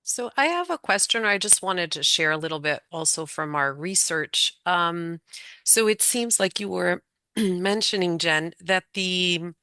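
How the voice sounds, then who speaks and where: A woman's voice: on the low side, animated, a little slow, bright, forceful tone, clean tone, no room sound. A woman hosting a webinar on a video call, asking the guest questions and thinking aloud as she does. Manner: inquisitive, thinks aloud with fillers and restarts. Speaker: webinar host.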